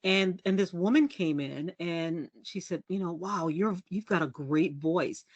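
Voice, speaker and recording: A middle-aged woman journalist from the US, telling candid stories as a podcast guest in a low, resonant voice. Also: measured pace, no echo, dull audio. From United States. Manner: lively, candid and self-deprecating, laughs often. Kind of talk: casual storytelling interview.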